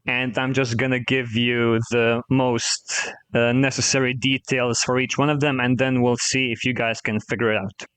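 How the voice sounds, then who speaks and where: Man, quizmaster, casual measured delivery, smooth clear voice, dry close sound. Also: medium pitch, flat delivery, fairly bright tone, dry recording. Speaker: guest quizmaster on a trivia podcast. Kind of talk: casual quiz hosting.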